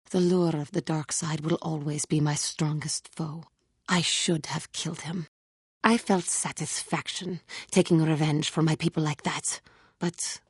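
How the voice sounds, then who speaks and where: A woman voicing a brooding, remorseful catlike alien Jedi warrior in a video game, speaking formally and earnestly with a husky voice. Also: low-pitched, darkish tone, no echo, studio quality, exaggerated voice. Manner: formal, solemn, measured speech without contractions. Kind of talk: video game character acting.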